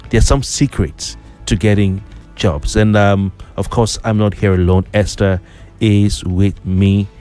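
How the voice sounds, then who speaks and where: A man's voice: lowish voice, fairly even intonation, measured pace, mellow, faint music behind. A middle-aged man hosting a morning radio talk show, chatty and teasing, with many fillers and restarts. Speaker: radio host.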